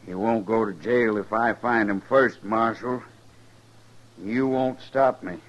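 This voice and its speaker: An actor playing a proud, vengeful older rancher with a rural Western accent, gruff and defiant, in 1950s radio western style. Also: male voice, lowish voice, fairly even intonation, slow pace. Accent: rural American Western. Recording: dull vintage 1950s radio recording.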